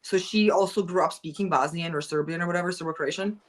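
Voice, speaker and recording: A woman's voice: lowish voice, fast talker. A woman from the United States, a guest in a casual podcast chat, talking candidly in a rambling way full of "like". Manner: rambling and candid, lots of "like" and restarts.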